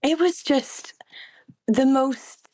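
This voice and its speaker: A woman's voice: high voice, drawn-out words, a little mellow. A middle-aged woman from Wales, a guest singer-songwriter talking through her experiences in an earnest, reflective way. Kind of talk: casual interview chat.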